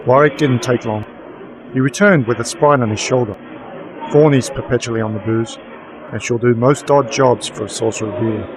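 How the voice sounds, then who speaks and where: A man's voice: medium pitch, normal speed, noisy background, close to an everyday voice. A man playing a weary, sardonic werewolf private investigator, narrating a noir radio drama in a dry, wry manner. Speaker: narrator and lead.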